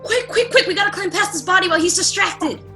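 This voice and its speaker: A woman playing a brash, bossy young rebel from a fairy tale in a radio comedy, sassy, slangy and excitable. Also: very high-pitched, fast talker, soft background music. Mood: excited, brash. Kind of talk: irreverent radio play acting.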